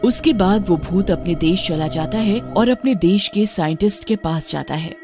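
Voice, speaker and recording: A woman's voice: fairly low pitch, normal speed, over music. A woman narrator reading a scripted story.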